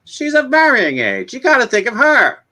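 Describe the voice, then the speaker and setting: A man's voice: very high voice, wildly swooping pitch, normal speed, sharp tone, exaggerated voice. A man acting a friendly, gossipy small-town neighbor in a dramatic comic reading, folksy and exclamatory. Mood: folksy, opinionated.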